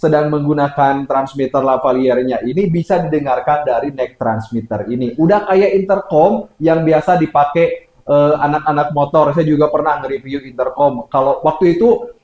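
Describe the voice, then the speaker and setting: A man's voice: fairly high pitch, moderate pace, darkish tone, muffled recording. A man with an Indonesian accent casually demonstrating a wireless microphone as a gadget reviewer, recorded on a neck-worn mic. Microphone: neck-worn wireless microphone linked to phone. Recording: roomy wireless microphone test.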